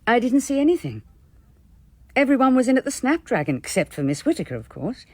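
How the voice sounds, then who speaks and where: An actress playing a composed, well-bred English married hostess in a radio drama, genteel, deliberate and subtly evasive. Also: female voice, fairly high pitch, sing-song, darkish tone, no room sound. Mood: composed, recollecting.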